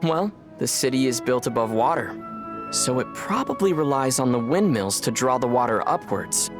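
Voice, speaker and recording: An actor playing a calm, dry young male traveler from other worlds in a video game. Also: mid-range voice, moderate pace, close to an everyday voice. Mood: wry. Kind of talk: video game dub dialogue. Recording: game audio with soft music behind.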